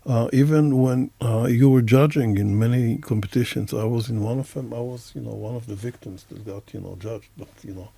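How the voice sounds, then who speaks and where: A man with an Israeli accent and a low-key, mellow tone that often crackles into fry. He talks like a reflective interviewer, feeling his way through roundabout sentences. The sound is close and dry. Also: a little fast, few pauses, dry recording. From Israel and the United States. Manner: hesitant, roundabout phrasing with many hedges. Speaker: interview host, professor. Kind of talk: casual reflective conversation.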